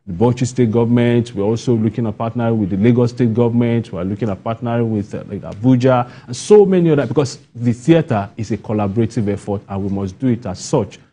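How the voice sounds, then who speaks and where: Nigerian guy being interviewed, mellow relaxed tone, earnest, repeats himself to make the point. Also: mid-range voice, quick pace, hardly any pauses, husky. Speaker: playwright and guest. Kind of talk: broadcast interview answers.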